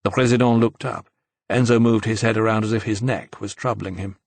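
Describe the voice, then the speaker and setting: A man's voice: fairly low pitch, steady tone, brisk, vocal fry, darkish tone, dry recording. A man narrating fiction from a script as an audiobook reader.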